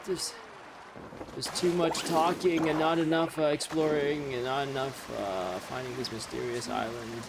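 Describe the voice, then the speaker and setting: A man's voice: mid-range voice, steady tone, unhurried, heavy background noise. A man giving casual, rambling live commentary as he streams a game, with sarcastic, joking asides. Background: game music.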